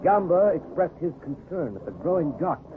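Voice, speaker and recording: A man's voice: on the high side, sing-song, brisk. A man narrating a seafaring adventure in florid, dramatic old-time radio style. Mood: dramatic, suspenseful. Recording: tinny, noisy vintage radio broadcast.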